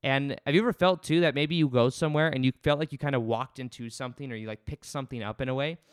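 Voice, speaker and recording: A man in his late twenties with a loud voice, hosting a casual spiritual self-help podcast and talking in an earnest, rambling way with many restarts. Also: medium pitch, fast talker, clear, resonant tone, no room sound, studio quality. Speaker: podcast host. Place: home studio.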